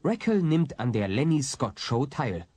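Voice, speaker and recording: A man's voice: medium pitch, very animated, a little slow, darkish tone, husky, no echo. A man narrating a scripted comedy radio play as its storyteller.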